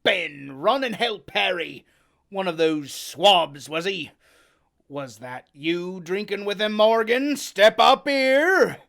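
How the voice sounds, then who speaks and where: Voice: medium pitch, big pitch swings, takes it slowly, vocal fry, voice pushed up, exaggerated voice. Long John Silver, as a reader puts him on, hearty old pirate. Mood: blustering. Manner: hearty, loud, sailor's slang. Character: cunning one-legged old male pirate innkeeper. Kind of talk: audiobook character voice.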